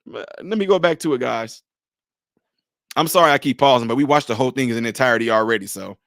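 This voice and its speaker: A man giving animated casual commentary on a video clip, with pointed rhetorical questions. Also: mid-range voice, brisk, creaky, no echo, studio quality. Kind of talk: casual live-stream commentary.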